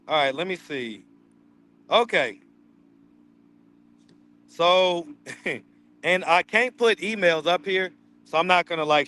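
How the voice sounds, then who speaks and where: A man's voice: a little high, bright, forceful tone, clear, resonant tone. A man with a slight lisp, hosting a casual livestream and chatting with viewers as he reads out their comments. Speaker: livestream host. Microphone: streaming mic.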